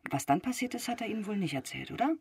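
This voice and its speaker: An actress playing a sharp-tongued, defensive woman shopkeeper in a naturalistic radio drama, speaking bluntly. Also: medium pitch, very animated, very fast, vocal fry, slightly rough, no room sound, clean studio sound, full character voice. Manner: blunt, caustic. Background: music.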